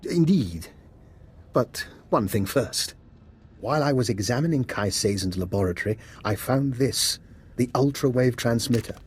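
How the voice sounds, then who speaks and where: A man acting a scheming scientist doctor in a video game, polite, formal and evasive, with a husky voice. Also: mid-range voice, animated, normal speed. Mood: politely amused. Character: smooth-talking, scheming male scientist doctor, coolly amused.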